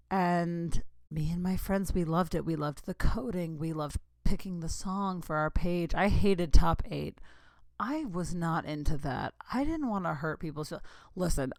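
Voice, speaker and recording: A woman's voice: lowish voice, gravelly, no echo. A woman in her thirties hosting her own podcast, chatting in a rambling, giggly way with lots of asides. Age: 30s. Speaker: podcast host. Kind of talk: casual solo podcast chat.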